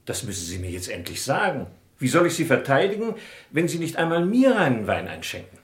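Actor as animated, insistent lawyer, roomy sound. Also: male voice, mid-range voice, a little fast, close to an everyday voice. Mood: insistent, probing. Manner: formal, insistent, questioning. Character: probing, exacting male defence lawyer. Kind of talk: vintage German radio crime drama acting. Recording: roomy old radio play recording.

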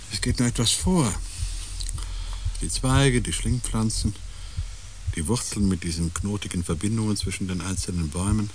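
A sober, analytical scientist in a science fiction radio drama. His very deep voice is deliberate and pondering, over faint background ambience. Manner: deliberate, matter-of-fact, pondering. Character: sober, analytical male scientist on an alien planet. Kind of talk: German radio play acting. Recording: noisy with ambient sound.

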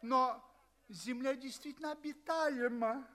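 An actor playing a courteous space traveller from Earth, narrating his tale expressively and deliberately in an audio play. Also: extremely high pitch, bright, forceful tone, exaggerated voice. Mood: flustered protest. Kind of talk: theatrical first-person audio play narration.

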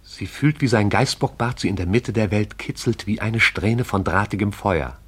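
An actor as the narrator of a poetic radio drama, telling it in a lyrical, wry storytelling manner. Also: male voice, fairly low pitch, moderate pace, breathy edge.

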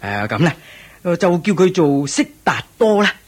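A man playing a proud, hearty king in a radio drama, exclamatory and expressive. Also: a little high, moderate pace, breathy edge. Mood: delighted. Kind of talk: Cantonese radio drama acting.

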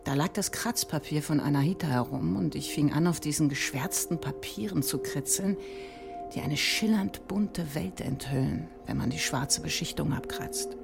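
An actress playing a dreamy female mathematician and mother, speaking in a measured, reflective way in a radio play. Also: low voice, vocal fry, music in the background.

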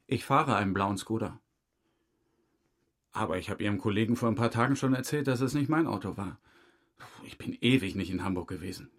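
A man's voice: fairly low pitch, lively intonation, brisk. An actor playing a talkative, defensive man questioned by police in an audio crime drama, colloquial and slightly aggrieved. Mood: dismissive. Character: chatty male car owner under questioning, defensive, evasive. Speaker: witness being questioned by police.

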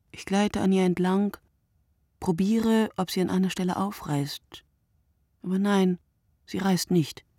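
A woman playing a weary, sleepless night thinker in a literary radio play, speaking in measured, contemplative fragments with a hushed tone. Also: mid-range voice, breathy edge, no echo, studio quality. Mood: tired, musing. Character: weary, sleepless woman narrating her night-watch thoughts.

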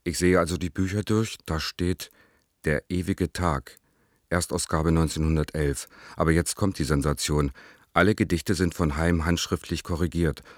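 An actor playing a secretive young bohemian lover, reading a letter in a confiding, deliberate way in a radio play. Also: male voice, low voice, steady tone, no echo, studio quality, lightly acted. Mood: secretly excited.

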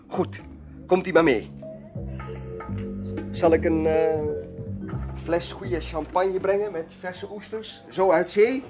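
An actor playing a jovial, obliging nightclub owner with an effusive, smooth-talking manner in a radio drama. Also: male voice, high-pitched, fairly even intonation, takes it slowly, pauses often, over music.

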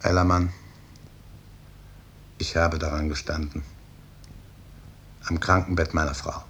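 An actor playing a stern, brooding, guilt-ridden professor and clinic chief in a 1950s German radio drama, speaking with emphatic authority. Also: male voice, rumbling low, level tone, unhurried, fairly bright tone, slight background noise. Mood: grave confession. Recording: early 1950s radio studio recording.

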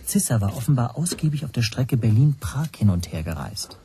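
An actor narrating a radio drama in the first person as an anxious language teacher, hushed and tense. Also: male voice, mid-range voice, big pitch swings, a little fast, a little hiss or hum. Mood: wary. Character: anxious male language teacher recounting his spy troubles.

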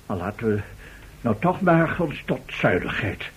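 An excitable, fretful professor, swooping voice. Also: male voice, medium pitch, unhurried. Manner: stammering, repeating words when flustered. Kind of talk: theatrical radio drama acting. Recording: noisy, crackly old radio play recording.

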